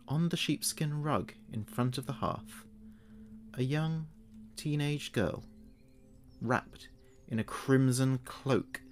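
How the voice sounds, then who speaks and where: Slow, low-voiced male horror narrator. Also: a little hiss or hum. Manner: measured, dramatic pauses.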